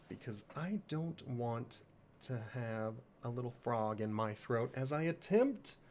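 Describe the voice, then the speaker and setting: A slightly sniffly man hosting a livestream, chatting casually and rambling in a self-deprecating way. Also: medium pitch. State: slightly sniffly, congested from allergies. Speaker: live streamer and host of a game playthrough.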